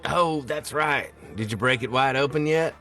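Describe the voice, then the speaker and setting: A man's voice: medium pitch, moderate pace, fairly bright tone, faint music behind, natural voice. A man playing a cocky, teasing small-town guy in a film script table read.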